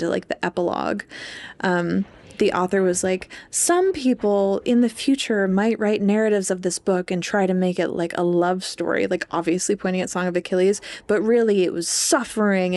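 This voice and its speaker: A woman's voice: medium pitch, gravelly, darkish tone. A young woman co-hosting a podcast, talking casually and informally about books, with "like" sprinkled through her speech. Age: young adult. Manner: informal, heavy use of "like". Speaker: podcast co-host. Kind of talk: casual book discussion chat.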